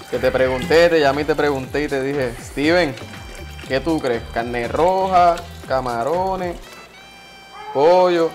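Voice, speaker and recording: Male guest in a kitchen chat, mid-pitched, Puerto Rican accent, colloquial, echoes his own phrases, music clearly audible behind. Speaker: guest on a cooking show. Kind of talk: casual chat. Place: home kitchen.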